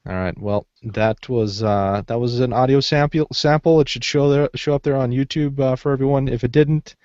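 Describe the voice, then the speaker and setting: A man's voice: mid-range voice, normal speed, darkish tone, smooth, no echo. A man co-hosts a podcast in a casual, conversational way. The sound is dry and close, with a dull lo-fi edge like an online call. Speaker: podcast co-host.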